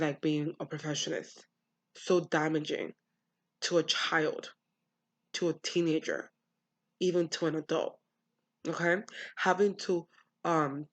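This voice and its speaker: Woman, young adult, from the US, blunt, frank vlog monologue, casual swearing. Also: sits low. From United States. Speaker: vlogger. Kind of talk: candid personal monologue.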